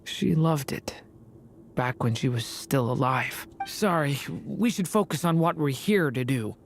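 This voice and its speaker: A woman voicing a gentle, wistful young dragonborn boy in a video game, soft and earnest. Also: low-pitched, lively intonation, measured pace. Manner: soft, earnest, a little sad. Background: faint game music.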